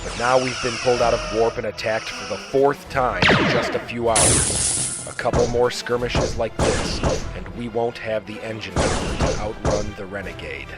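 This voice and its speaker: An actor playing a wry, unrepentant starship captain in an audio drama, speaking in a dry, measured and formal way. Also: male voice, on the low side, fairly even intonation, loud music behind the voice, lightly acted. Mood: grave, reporting. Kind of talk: science fiction audio drama acting.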